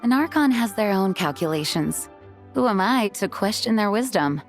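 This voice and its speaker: A woman's voice: medium pitch, lively intonation, normal speed, faint music behind, lightly acted. A woman voicing an earnest, dutiful young woman leader in an anime game, sincere and polite.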